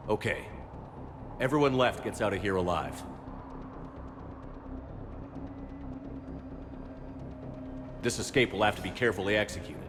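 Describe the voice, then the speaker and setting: A man's voice: lowish voice, natural voice. A man playing a stern, determined military commander in a sci-fi video game, speaking with terse authority. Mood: commanding, resolute. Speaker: game protagonist. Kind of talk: cinematic video game dialogue. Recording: echoey hall, loud vehicle noise behind.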